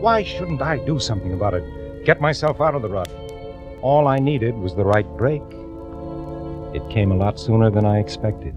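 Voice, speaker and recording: A man's voice: lowish voice, animated, normal speed, close to an everyday voice. An actor playing a meek, discontented bank clerk who confides his story with wry brooding, in 1940s radio drama style. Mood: desperate. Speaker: narrator and lead actor. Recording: muffled old radio recording with music.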